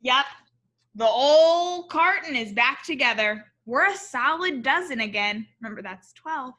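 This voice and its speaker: A woman with a high, very bright voice reads a children's story aloud. She takes her time and speaks expressively, in the explanatory manner of a teacher checking in with young listeners. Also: expressive, a little slow. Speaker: teacher reading a picture book aloud to children.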